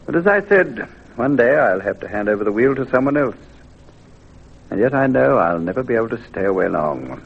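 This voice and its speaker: A man's voice: mid-range voice, big pitch swings, brisk, gravelly, slightly rough, exaggerated voice. An actor playing a weary, wistful pioneer husband, earnest about his work, in an old-time radio drama. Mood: wistful, resigned. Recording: thin, phone-like vintage radio sound.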